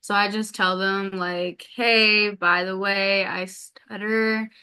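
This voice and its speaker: A woman who stutters speaks slowly as a guest in a casual interview, repeating first sounds and filling pauses with ums. Her voice is bright and smooth. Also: mid-range voice, fairly even intonation. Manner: stutters with repetitions and blocks; many filler ums. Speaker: podcast guest.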